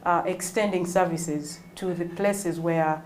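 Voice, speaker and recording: Woman, early forties, Ugandan accent, lowish pitch, measured explanatory interview answers, faint ambient noise. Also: fairly even intonation, a little mellow. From northwestern Uganda. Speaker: interview guest, non-profit executive director.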